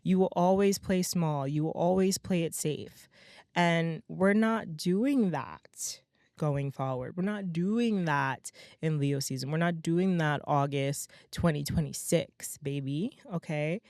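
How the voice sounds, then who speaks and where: A young adult woman hosting her own podcast in a casual, motivational monologue. Her voice is slightly hoarse and raspy, and she talks emphatically, often tagging lines with "right?" and "okay?". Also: sits low, takes it slowly, a little mellow, dry recording, clean studio sound. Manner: chatty, emphatic, peppers talk with "right?" and "okay?". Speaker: podcast host.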